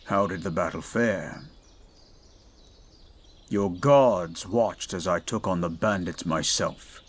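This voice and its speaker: Man voicing a stoic goliath bodyguard, deep and slow. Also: husky, exaggerated voice. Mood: dry, gentle. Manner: formal, measured, commanding. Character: towering male goliath bodyguard, stoic, dutiful, protective. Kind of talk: audio roleplay.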